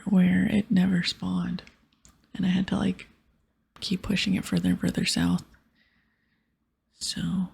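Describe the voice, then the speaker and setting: A woman giving hushed, breathy ASMR commentary as she plays a game, mostly whispering with stretches of soft speech. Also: fairly low pitch. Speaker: ASMR gaming streamer.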